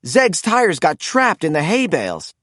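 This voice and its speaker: A man narrating a children's storybook in an expressive, animated read-aloud style. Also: high-pitched, a little slow, no room sound, clean studio sound.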